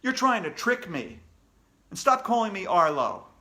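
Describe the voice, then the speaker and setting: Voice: mid-range voice, very animated, a little slow, fairly bright tone. A reader voicing a frightened schoolboy in a storybook read-aloud, pleading and protesting. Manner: panicky, pleading. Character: frightened schoolboy telling his own story.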